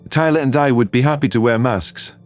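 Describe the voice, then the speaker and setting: Sci-fi story human man voiced by a narrator, medium pitch, calm, reasonable, protective, persuasive. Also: fairly quick, soft background music, lightly acted.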